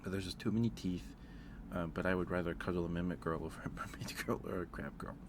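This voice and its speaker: Low-voiced man chatting casually over background noise. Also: quick pace. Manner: hesitant, thinking aloud. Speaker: streamer. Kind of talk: casual ASMR livestream chat.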